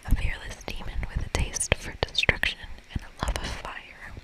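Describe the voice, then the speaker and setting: A woman's voice: moderate pace, low-quality recording. Whispering woman, ASMR game playthrough. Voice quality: breathy, unvoiced whisper. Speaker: ASMR gaming host.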